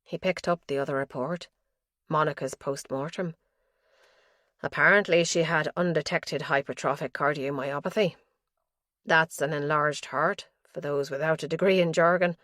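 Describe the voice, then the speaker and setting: Low-voiced woman narrating a thriller. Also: steady tone, normal speed, a bit bright, dry recording, studio quality. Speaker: audiobook narrator. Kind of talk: scripted narration.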